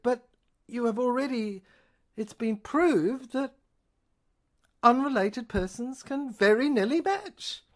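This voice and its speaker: Halting, argumentative woman performing a script. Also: fairly high pitch, darkish tone. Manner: halting, repetitive, argumentative, rambling. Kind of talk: dramatic solo reading of a screenplay, acting out courtroom argument and monologue.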